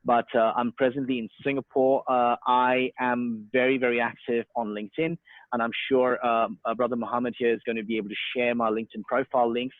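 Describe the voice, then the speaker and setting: A man's voice: medium pitch, flat delivery, fairly quick, dry recording. A man in his thirties, born in India, speaking with an Australian accent as an interview guest, talking freely with many fillers and restarts. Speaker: interview guest, sales and marketing professional. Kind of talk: casual online interview. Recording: tinny phone-like call audio, dry.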